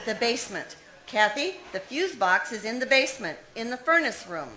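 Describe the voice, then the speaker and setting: A woman's voice: fairly high pitch, sing-song, normal speed, crowd noise, poor audio. A woman playing a ruthless, scheming young woman who plots a murder, in 1940s radio acting style, cold and commanding. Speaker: radio play actor.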